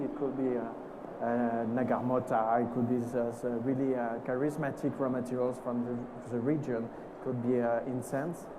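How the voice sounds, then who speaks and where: A man with a French accent speaking on a conference panel, halting and searching for his words in English. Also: mid-range voice, noisy background. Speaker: perfumer. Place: conference stage.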